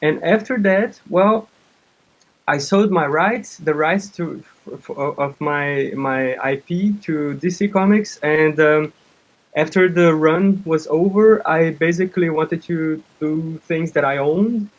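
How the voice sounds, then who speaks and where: A man in his thirties from Brazil with a Brazilian accent, a native Portuguese speaker, giving casual interview answers in a halting way. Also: on the high side, muffled recording. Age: 30s. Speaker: interview guest, comic artist and game developer.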